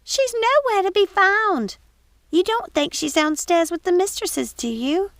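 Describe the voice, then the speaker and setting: Woman voicing a shrill, panicky servant woman. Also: expressive, measured pace, fairly bright tone, no echo, studio quality, heavily acted. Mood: worried, fretful. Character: panicky, flustered female household servant, shrill and fretful. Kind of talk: audiobook character voice.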